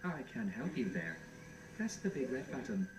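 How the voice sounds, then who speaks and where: An actor playing a resentful, bargaining helper robot in a cartoon game, speaking in a wordy, formal, sardonic way. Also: male voice, medium pitch, sing-song, moderate pace, loud music behind the voice, natural voice. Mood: scheming. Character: hardworking, resentful, bargaining helper robot who collects figures. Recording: distant, echoey game audio with background noise.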